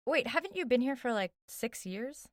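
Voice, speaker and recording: A woman's voice: on the high side, big pitch swings, moderate pace, no echo, studio quality. A woman playing a skeptical, curious young woman in an audio drama, questioning in a casual, dryly incredulous way. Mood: puzzled, probing.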